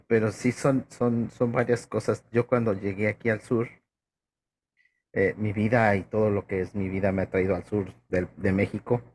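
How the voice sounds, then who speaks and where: A man with a fairly low voice and a Mexico City accent tells personal stories in a relaxed, chatty way. He repeats words as he goes. Faint room noise sits behind him. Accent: Mexico City Spanish. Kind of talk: anecdotal casual chat.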